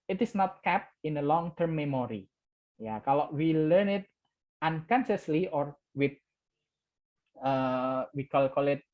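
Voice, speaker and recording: Indonesian-accented guy teaching an english class online, hops between english and indonesian, keeps saying ya, bit muffled audio. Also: on the high side. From Java, Indonesia. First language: Javanese. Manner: switches between English and Indonesian, repeats key words with "ya". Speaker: lecturer.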